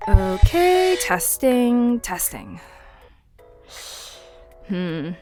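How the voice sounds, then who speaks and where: A woman's voice: medium pitch, very animated, very slow, warm tone, soft background music. A woman playing a practical, mildly exasperated young woman in an audio drama, casual and matter-of-fact. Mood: businesslike, exasperated.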